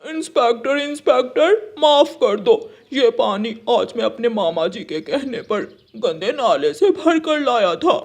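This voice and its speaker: A man's voice: extremely high pitch, lively intonation, measured pace, hardly any pauses, heavily acted. A man voicing a hard-working, grumbling crow husband who is easily led, in a children's cartoon. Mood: pleading.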